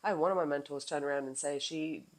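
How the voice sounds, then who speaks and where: A woman hosting her own podcast in casual chat, with a low voice, animated and laughing often, now and then swearing. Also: fast talker. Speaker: podcast host.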